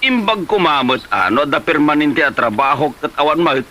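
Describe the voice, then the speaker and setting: Man acting an exasperated, animated Ilocano husband. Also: a little high, moderate pace. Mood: baffled, protesting. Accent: Ilocano Filipino. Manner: whining, sputtering repeated exclamations. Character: exasperated, weary husband who pleads with his wife. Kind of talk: Ilocano comedy radio-style drama acting.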